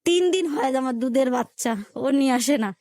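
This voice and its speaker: A woman's voice: sits high, fairly quick, a little mellow, dry recording, clean studio sound. A woman with a colloquial Dhaka-area Bangladeshi accent tells her own life story emotionally, acting out conversations as she goes. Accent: Bangladeshi, colloquial Dhaka-area Bengali. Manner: acts out quoted conversations in dialect.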